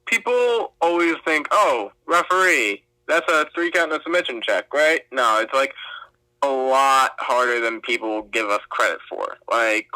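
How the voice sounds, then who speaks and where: A man's voice: medium pitch, pushed and bright, clear, resonant tone, dry recording, rough recording. A young man, a wrestling referee, answering interview questions casually with lots of "like" fillers. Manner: chatty, many fillers like "like".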